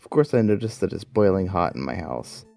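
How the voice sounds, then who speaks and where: A man's voice: deep voice, big pitch swings, brisk, vocal fry, darkish tone. A young man telling a comedic personal story in a rambling, self-deprecating way, with music behind him. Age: young adult. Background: background music.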